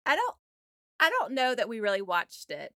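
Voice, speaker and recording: Bright, lively American woman chatting. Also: a little high, moderate pace, no room sound, studio quality. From United States. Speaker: podcast co-host. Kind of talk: casual chat.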